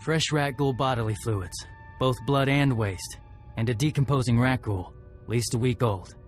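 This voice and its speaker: An actor playing a serious, honor-bound tracker in a video game cutscene, talking in clipped, matter-of-fact phrases. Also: male voice, medium pitch, normal speed, faint music behind, natural voice. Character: terse, serious male Mandalorian tracker seeking honor.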